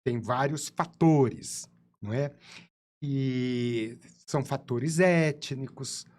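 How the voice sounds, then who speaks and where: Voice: medium pitch, extremely slow, a little mellow. A podcast host asking a guest questions, thinking aloud with hesitant fillers. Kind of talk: interview questions.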